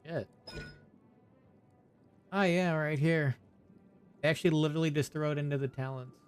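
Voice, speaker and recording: A man streaming a game, giving offhand, low-key commentary and muttering through on-screen text as he reads. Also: steady tone, normal speed. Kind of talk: casual gameplay commentary. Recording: game music playing behind.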